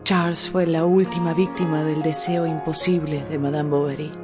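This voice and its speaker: A woman narrates a radio novel in a measured, dramatic storytelling manner with weighted pauses. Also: sits low, flat delivery. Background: music.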